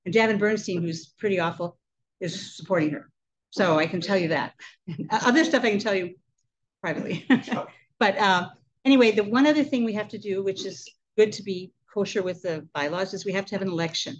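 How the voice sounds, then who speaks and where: A woman's voice: medium pitch, a little fast, lo-fi sound, a little hiss or hum. A woman in her sixties, a club president holding a meeting, talking casually with a handheld mic, chatty with laughing asides. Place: indoor meeting room. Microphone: handheld mic, webcam from a distance.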